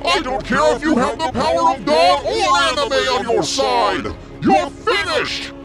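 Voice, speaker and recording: An actor playing a giant evil dragon villain, bombastic and theatrical, ranting in an animated parody. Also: male voice, extremely high pitch, measured pace. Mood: menacing, triumphant. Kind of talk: over-the-top animated parody villain acting. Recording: loud music under the voice.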